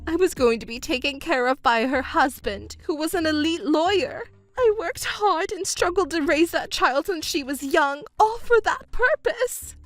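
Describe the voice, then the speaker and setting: A woman voicing a shrill, whiny stepmother. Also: very animated, moderate pace, faint music behind. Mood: indignant. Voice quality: whiny, self-pitying. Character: selfish, shrill middle-aged stepmother. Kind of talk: melodramatic audio drama acting.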